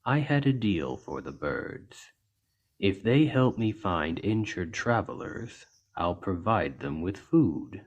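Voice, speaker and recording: A man voicing a large, gentle orc healer in an ASMR roleplay, speaking in an earnest, measured and reassuring way. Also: deep voice, sing-song, lo-fi sound, heavily acted. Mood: kindly, calm. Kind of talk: ASMR roleplay, soft storytelling to an injured listener.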